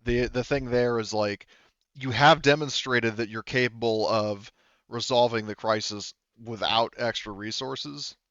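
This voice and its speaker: A man runs a tabletop roleplaying game in a smooth, resonant voice. He reasons through the rules out loud in a casual, explanatory way. The sound is dry and slightly muffled. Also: medium pitch, steady tone, a little slow, no room sound. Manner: deliberating aloud, explanatory. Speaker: game master.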